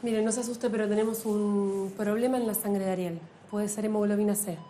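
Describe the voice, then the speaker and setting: An actress playing a calm, reassuring doctor who explains things in a film drama. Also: female voice, medium pitch, fairly quick, slight background noise, natural voice. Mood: reassuring, concerned.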